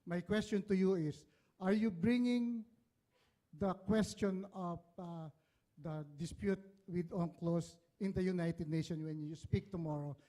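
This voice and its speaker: A man with a Filipino accent putting an earnest, halting, long-winded question from the floor at a public event. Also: high voice, fairly even intonation, warm tone, low-quality recording. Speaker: audience questioner, community organizer.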